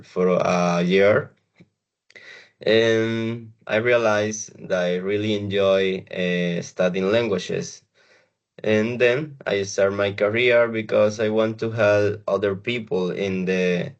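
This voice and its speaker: Man, Colombian Spanish accent, low voice, slow hesitant podcast hosting, dull lo-fi audio. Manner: hesitant, halting phrasing. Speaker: podcast host and language student.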